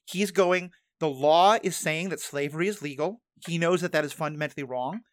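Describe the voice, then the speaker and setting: A podcast host at a middle pitch, recorded close and dry with no room sound. The delivery is animated, speeding up in bursts and doubling back on phrases mid-thought. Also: no room sound. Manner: animated, fast bursts, thinking aloud with restarts. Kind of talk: conversational interview.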